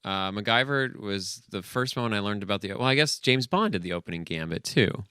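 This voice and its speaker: Low, clear-voiced man discussing movies. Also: animated, fairly quick, pushed and bright, dry recording, studio quality. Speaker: podcast co-host. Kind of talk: casual, analytical movie chat.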